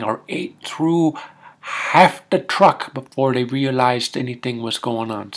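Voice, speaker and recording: A man playing a folksy, rambling Minnesotan husband with a Scandinavian-tinged Minnesota accent, telling comic tall tales in halting, homespun grammar. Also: mid-range voice, a bit bright. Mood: animated, rambling. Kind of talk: comic tall-tale storytelling.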